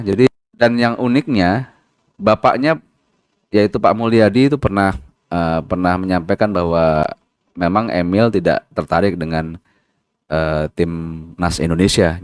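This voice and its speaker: A man with a deep, low voice hosts a football channel in a loose, chatty way. He pads his talk with fillers and tag questions and trips over hard foreign names. The sound is close and dry, with no room around it. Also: clear, resonant tone, no room sound. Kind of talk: casual spoken commentary.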